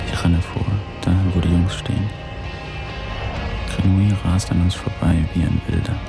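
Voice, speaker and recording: Loud music backs this radio drama report. one of a rough gang, deep and flat in melody. He speaks in terse present-tense bursts. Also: moderate pace. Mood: urgent. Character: one of the rowdy young men, reporting events.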